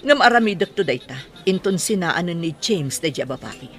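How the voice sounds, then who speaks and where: A stubborn, scheming Filipino woman with an Ilocano accent. Her lowish voice swings widely in pitch, in radio drama style. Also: lively intonation, fairly quick, a bit bright. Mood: defiant, scheming.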